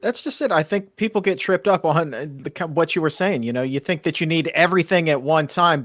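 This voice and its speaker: A man's voice: on the high side, a bit bright, dry recording, clean studio sound. A man hosting a health talk show, enthusiastic and chatty, reading out viewer questions. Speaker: show host.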